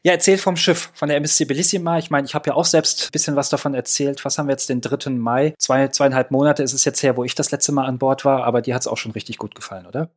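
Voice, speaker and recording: Fast-talking man hosting a chat. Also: mid-range voice, fairly even intonation. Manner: friendly, joking, quick questions. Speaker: podcast host. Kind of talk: casual podcast chat.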